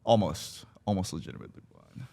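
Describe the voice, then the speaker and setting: A man with a baritone voice, chatting casually in a relaxed, chill manner. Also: fairly quick, creaky. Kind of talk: casual podcast chat.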